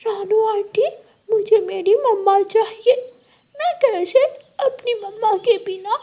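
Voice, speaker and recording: A tiny, frightened orphaned boy bird in a cartoon, acted by a woman. The voice is very high, plaintive and whining, full of tearful grief. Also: slow pace, mellow. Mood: distraught, pleading. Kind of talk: Hindi animated story dialogue.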